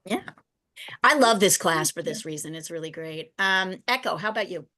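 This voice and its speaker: A woman's voice: on the low side, animated, moderate pace, pushed and bright. A woman teaching an online drawing class over a video call, chatty and encouraging. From United States. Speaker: art teacher.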